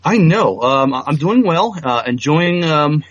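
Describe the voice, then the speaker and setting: A man's voice: on the high side, expressive, a little slow, no room sound, dull audio. Hesitant male podcast co-host, dry sound. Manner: hesitant, many fillers and restarts. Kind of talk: casual podcast chat.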